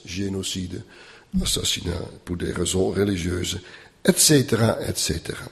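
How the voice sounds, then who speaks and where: A man who is not a native speaker, giving a Bible lecture in a slow, halting way, often checking in with "hein?" and filling pauses with "euh". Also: on the low side, creaky, in a large hall. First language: not French.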